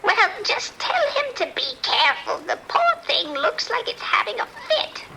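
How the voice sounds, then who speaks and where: A woman's voice: very high-pitched, very animated, normal speed, creaky, noisy background, full character voice. A woman playing a fretful, indignant resident phoning the sheriff in an audio drama. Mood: fretful concern. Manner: anxious, indignant.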